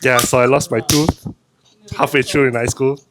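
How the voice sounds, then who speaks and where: A man's voice: mid-range voice, fairly even intonation, moderate pace, background noise. A young man from Malaysia chatting casually on a podcast, giggly and rambling. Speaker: podcast guest. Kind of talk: casual chat.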